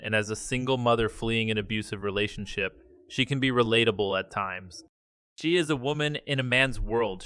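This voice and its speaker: A man reading a scripted news report in a measured, even delivery. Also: mid-range voice, clear, resonant tone, no echo. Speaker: news narrator.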